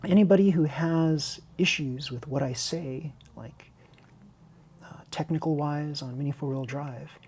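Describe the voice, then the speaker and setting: Husky woman chatting through a hobby build. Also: deep voice, unhurried, a little mellow. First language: Chinese. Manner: rambling, candid, self-interrupting. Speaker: hobby video host and teacher. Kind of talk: unscripted casual chat over a model car build tutorial.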